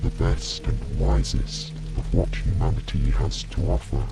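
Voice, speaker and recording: A man voicing a remorseful, reflective lord of a lonely chateau in a bedtime story, soft and woeful. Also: lightly acted. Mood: regretful, reflective. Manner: woeful, halting, confessional. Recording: rain and music behind.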